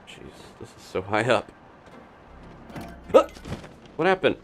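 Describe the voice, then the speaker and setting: A man's voice: mid-range voice, very animated, takes it slowly, fairly bright tone, faint music behind. A man giving casual live gameplay commentary, chatting and thinking aloud in unfinished asides. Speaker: video game streamer.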